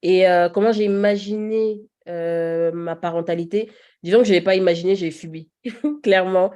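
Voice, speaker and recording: Relaxed woman in her thirties chatting. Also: on the low side, moderate pace, lo-fi sound. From Paris region. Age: 30s. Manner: relaxed, many fillers, laughs easily. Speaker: podcast guest. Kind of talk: casual chat.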